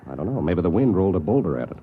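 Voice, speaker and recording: An actor in a 1950s radio drama playing a cautious, principled anthropologist, earnest and reasonable. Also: male voice, low-pitched, very animated, very fast, slightly rough, full character voice. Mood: matter-of-fact. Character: cautious, principled male anthropologist on alien outpost. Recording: muffled old radio recording.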